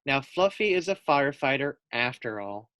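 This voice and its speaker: A man's voice: mid-range voice, very animated, moderate pace, a bit bright, no room sound, low-quality recording. Upbeat storybook firefighter, male, friendly, read by a man. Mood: proud.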